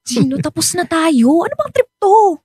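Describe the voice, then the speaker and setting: An expressive, animated lady schoolteacher. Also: very high-pitched, normal speed, no room sound, studio quality. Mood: angry, firm. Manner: expressive, mixing Tagalog and English. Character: caring but firm young female high school teacher. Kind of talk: Filipino radio drama acting. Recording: music behind the voice.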